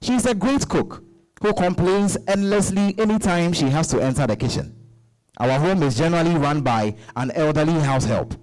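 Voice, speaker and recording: A man's voice: fairly high pitch, lively intonation, normal speed, a little mellow, natural voice, poor audio. Man playing aggrieved husband, swooping voice. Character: frustrated, aggrieved husband complaining about his career-minded wife. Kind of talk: dramatized stage-style novel reading.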